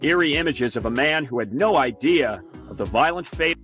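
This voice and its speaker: A man's voice: medium pitch, lively intonation, normal speed, fairly bright tone, soft background music. A man reading dramatic scripted news narration as a reporter.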